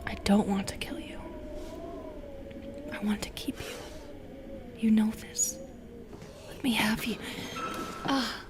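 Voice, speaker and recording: A woman playing a bitter, lonely, seductive Medusa in an intimate audio roleplay, half-whispering in a breathy, brooding way. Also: medium pitch, slow pace, pauses often, lightly acted. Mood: seductive, menacing. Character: bitter, seductive, lonely female Medusa who turns people to stone.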